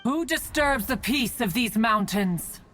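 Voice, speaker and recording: A woman's voice: a little high, lively intonation, a little slow, fairly bright tone, soft background music. A woman voicing a proud, doting ancient crane immortal in a video game, speaking in formal, archaic phrases. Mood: lofty, amiable. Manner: stately, formal archaic phrasing, calls herself "one".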